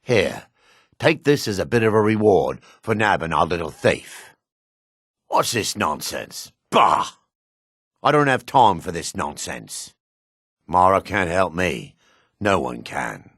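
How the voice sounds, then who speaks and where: A man voicing a gruff, wary male dark elf townsman in a fantasy video game, with a low, husky voice. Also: sing-song, takes it slowly, dry recording, studio quality, heavily acted. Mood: angry, then indignant.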